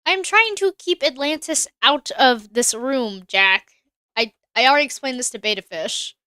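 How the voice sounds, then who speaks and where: Woman game streamer, a little high, bright and clear, casual running commentary with chat asides. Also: animated, normal speed, dry recording, clean studio sound. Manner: chatty, thinks aloud, answers chat.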